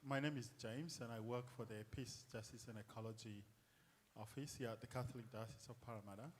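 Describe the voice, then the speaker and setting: A man's voice: medium pitch, steady tone, a little fast, slight background noise. A man from South Sudan, an audience member at a public lecture, politely and a little hesitantly asking a question.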